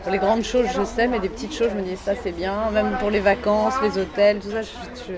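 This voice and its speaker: A woman from France, a comedian answering interview questions in a dry, measured way that trails off quietly. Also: mid-range voice, steady tone. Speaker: comedian guest. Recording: outdoor interview with loud crowd behind.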